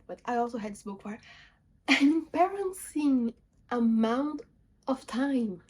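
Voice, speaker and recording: A woman's voice: on the high side, a little mellow, slight background noise. Chatty young woman. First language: not English. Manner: chatty, listing titles, self-deprecating asides. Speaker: book vlogger. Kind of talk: casual book haul chat.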